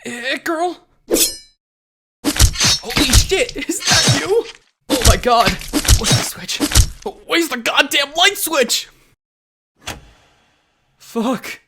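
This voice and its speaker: A man as an excitable, creaky teenage amateur superhero. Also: a little high, unhurried, very noisy, heavily acted. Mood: shocked, panicked. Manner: excitable, profane, dramatic. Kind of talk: comic book dub acting.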